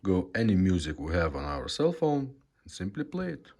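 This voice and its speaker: A man presenting a casual product demonstration in a low-key, halting way. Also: sing-song. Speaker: presenter demonstrating a retrofitted car radio.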